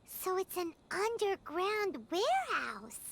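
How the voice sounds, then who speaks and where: A woman voicing a tiny, excitable fairy girl companion in an anime video game, squeaky, cutesy and childlike. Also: slow pace, background noise, full character voice. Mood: puzzled. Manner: cutesy, talks of herself in third person. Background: game music.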